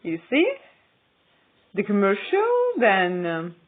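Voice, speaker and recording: Slow, halting woman on tinny audio. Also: medium pitch, big pitch swings. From Ukraine. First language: not English. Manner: hesitant, halting with frequent pauses. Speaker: vlogger. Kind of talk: casual screen-recording commentary.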